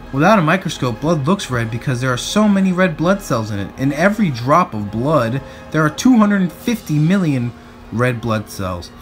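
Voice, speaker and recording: A man reading aloud to children in an expressive, playful storybook style. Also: medium pitch. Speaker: storybook reader. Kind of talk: animated read-aloud narration for children.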